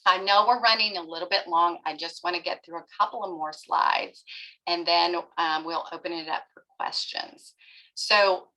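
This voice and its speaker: A woman's voice: lowish voice, steady tone, normal speed, bright, forceful tone, rough recording. A middle-aged woman therapist giving a webinar lecture to parents, explaining step by step and often checking in with "right?". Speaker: pediatric therapist presenting a webinar.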